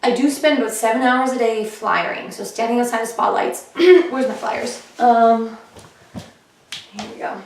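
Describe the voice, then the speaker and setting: A woman comedian chatting casually in a vlog about her shows, her voice a little hoarse and often clearing her throat. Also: a little high, a little slow. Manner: chatty and upbeat, with fillers. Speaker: comedian vlogging her diary. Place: living room of a flat, indoors. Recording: echoey room, heard from a distance.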